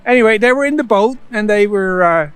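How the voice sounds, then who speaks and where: A man with a high-pitched voice hosts a casual storytelling devotional. He talks in a folksy, conversational manner, often dropping in "you know", over faint music. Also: lively intonation, a little fast, smooth, dry recording. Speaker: host, Bible storyteller.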